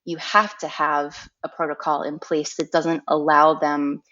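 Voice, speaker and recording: A woman with a very low voice, speaking as a podcast guest. She is frank and emphatic, driving each point home. The sound is dull and lo-fi. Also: level tone, measured pace, a bit bright. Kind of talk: casual interview.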